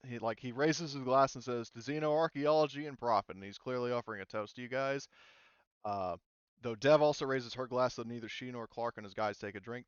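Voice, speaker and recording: Male game master, smooth clear voice, informal halting narration, dry close sound. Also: medium pitch, dry recording, muffled recording. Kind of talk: casual tabletop game narration.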